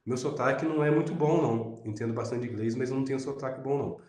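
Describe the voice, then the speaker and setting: A Brazilian man with a Minas Gerais accent teaching web programming in a relaxed lecture, often tagging sentences with "né?". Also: medium pitch, fast, echoey room. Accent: Minas Gerais Portuguese. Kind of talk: recorded lecture over slides.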